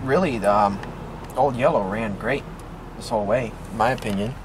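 A man's voice: on the low side, normal speed. A young American man chatting casually to the camera as a vlogger, recorded on a handheld camera. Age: young adult. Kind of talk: casual vlog chat. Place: old pickup truck cab and roadside outdoors. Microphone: handheld camera at arm's length. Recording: loud road, engine and outdoor noise.

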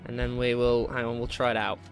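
A man giving casual, excitable commentary while playing a video game, jokey and slangy, with exclamations and swearing. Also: mid-range voice, fairly quick. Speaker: gaming video host. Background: game music.

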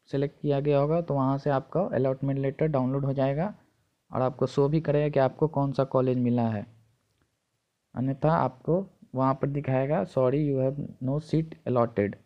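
A man's voice: mid-range voice, muffled recording. A man narrating an informal step-by-step tutorial, instructing and repeating each step, recorded on a phone. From India. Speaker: tutorial presenter.

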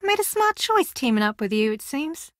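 A young woman competing on a reality show, driven and blunt. Her voice sits slightly high, with a wry, clipped, theatrical delivery. The sound is close and dry. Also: big pitch swings, fairly quick, a bit bright, no echo. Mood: tense, blunt. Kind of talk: video game voice acting.

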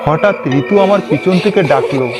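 A man's voice: on the high side, moderate pace, poor audio. A man narrating a scripted ghost story in the first person. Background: background music.

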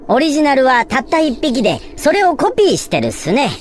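High, boyish little robot sidekick. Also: female voice, sing-song, a little fast, slight background noise. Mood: earnest. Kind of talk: video game cutscene voice acting. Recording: loud music behind the voice.

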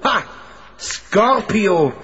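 A man's voice: high-pitched, very animated, extremely slow, bright, forceful tone, full character voice. A man playing a determined, protective racing driver and father in a children's radio play. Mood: dawning realization. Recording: dull old cassette, noisy background.

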